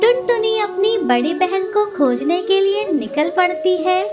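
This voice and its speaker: A woman's voice: extremely high pitch, lively intonation, unhurried, loud music behind the voice. A woman reading a scripted children's story as its storyteller.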